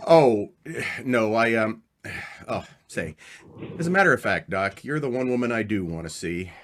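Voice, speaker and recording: A man playing an awkward, uneasy book editor in a radio drama, speaking hesitantly and haltingly. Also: lowish voice, lively intonation, a bit bright. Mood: flustered, hesitant. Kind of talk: old-time radio drama acting.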